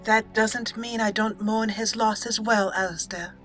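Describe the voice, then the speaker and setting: A woman's voice: a little high, fairly even intonation, a little slow, a bit bright. A woman giving casual, chatty, giggly commentary as host of a roleplay video game playthrough. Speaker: YouTube host doing a roleplay let's play. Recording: game music behind the voice. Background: video game music.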